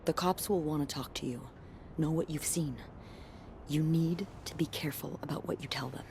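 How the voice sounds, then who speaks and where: A woman's voice: sits low, steady tone, normal speed. A woman playing a caring, earnest young hotel worker in a video game, confiding in a friend. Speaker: coworker and friend of the maid. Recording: faint background noise.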